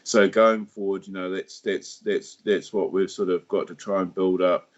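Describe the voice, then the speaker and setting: A man's voice: low-pitched, steady tone. A man with a New Zealand accent, talking casually on a livestream about his party, with lots of restarts and fillers. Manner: halting, many restarts and fillers. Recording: dull lo-fi stream audio.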